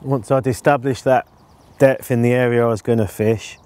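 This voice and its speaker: A man in his forties explaining his canal fishing setup in a relaxed, casual way, with frequent ums, recorded outdoors on a lapel mic. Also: medium pitch, moderate pace. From England. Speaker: angling presenter. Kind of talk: casual instructional talk to camera.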